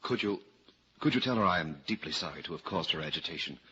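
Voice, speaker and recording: An actor playing a grave, courteous, apologetic prisoner, halting and earnest, in a vintage radio drama. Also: male voice, deep voice, expressive. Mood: remorseful. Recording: dull, noisy, reverberant old radio recording.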